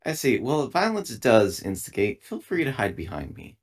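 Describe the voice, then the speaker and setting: A man's voice: mid-range voice, huge pitch swings, normal speed, slightly rough. A man playing a formal, stiffly polite bodyguard in a tabletop roleplaying game. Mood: polite, unruffled. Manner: formal, stilted.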